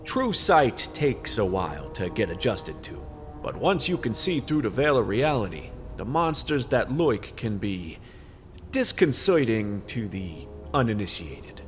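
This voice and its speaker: An actor playing a world-weary fantasy detective in a noir radio play, brooding and dramatic. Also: male voice, lowish voice, very animated, measured pace, faint music behind. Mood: knowing, grave. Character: hard-boiled fantasy detective, world-weary, knowing, dealer with demons.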